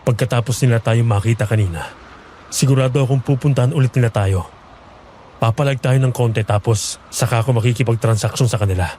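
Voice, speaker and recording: A man playing a determined, protective young farmer in a horror audio drama, speaking in a measured, earnest way. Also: mid-range voice, warm tone, a little hiss or hum, close to an everyday voice. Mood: plotting.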